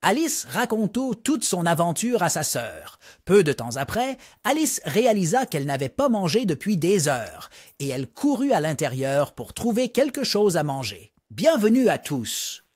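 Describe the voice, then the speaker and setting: A man narrating a scripted storybook for young children in an expressive read-aloud manner. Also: high voice, moderate pace, breathy edge, no echo, studio quality.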